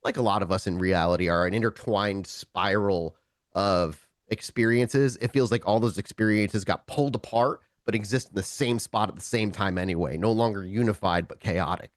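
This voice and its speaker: A man running a tabletop roleplaying game, shifting between casual talk and deliberate, dramatic second-person narration. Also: lowish voice, dry recording, clean studio sound. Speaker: game master of a streamed tabletop roleplaying show.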